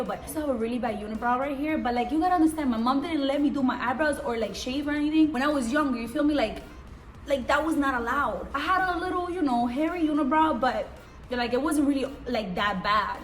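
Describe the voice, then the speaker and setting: A young woman born in Cuba and raised in Israel, whose first language is Hebrew, rambling fast and slangy through a casual vlog in a room set up for filming. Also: a little high. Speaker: vlogger. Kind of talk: casual get-to-know-me vlog. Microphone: camera mic.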